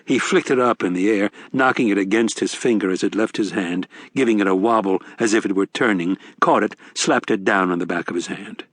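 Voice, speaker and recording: A man's voice: on the low side, brisk, hardly any pauses, no echo. A man giving a scripted narration of a novel as an audiobook narrator.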